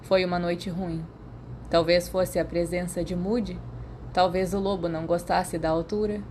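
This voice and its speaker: Brazilian female audiobook narrator, slightly low register, noisy home-recorded sound. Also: flat delivery, moderate pace, a little mellow. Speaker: podfic narrator. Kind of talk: scripted audiobook narration of fan fiction.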